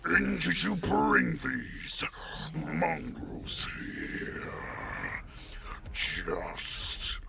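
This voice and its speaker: A man voicing an insidious, gloating fantasy game villain in a gravelly, whispery, drawn-out growl. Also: fairly high pitch, huge pitch swings, background noise, voice pushed up, exaggerated voice. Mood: menacing, gloating. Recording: loud music under the voice.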